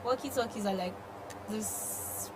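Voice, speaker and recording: A woman's voice: mid-range voice, measured pace. A woman with a Nigerian accent co-hosting a conversational discussion of the news. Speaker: talk show co-host discussing the news. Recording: noisy room ambience.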